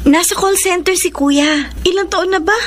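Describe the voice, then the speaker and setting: An actress with a Filipino accent playing a cheerful, devoted younger sister in her early twenties in a Tagalog radio drama, animated and earnest. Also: very high voice, normal speed, a little hiss or hum. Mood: friendly.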